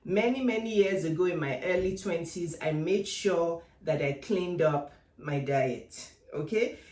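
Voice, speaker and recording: A woman's voice: fairly low pitch, animated, unhurried, darkish tone. A woman in her fifties from Nigeria, giving direct, encouraging motivational advice to viewers in a vlog. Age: 50s. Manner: direct and encouraging, addresses viewers, repeats key points. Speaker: women's life coach. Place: home workout room. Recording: echoey room.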